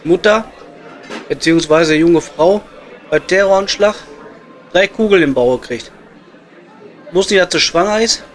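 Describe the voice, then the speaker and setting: A man playing a gruff, foul-mouthed coal miner with a Ruhr accent in an improvised comedy audio play, grumbling in coarse slang. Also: sits high, a little slow, long pauses. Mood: joking. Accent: Ruhr area German. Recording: music behind the voice.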